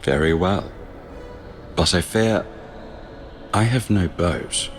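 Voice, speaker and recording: An actor playing a solemn, gentle god of death in an audio drama, speaking in grave, courtly phrases. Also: male voice, low voice, expressive, takes it slowly, faint music behind.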